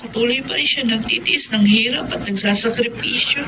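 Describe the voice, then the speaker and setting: A woman's voice: on the high side, moderate pace, lightly acted. A woman acting a hesitant, sorrowful young woman torn between lovers in a Tagalog radio drama. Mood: hesitant, sad. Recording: very noisy background.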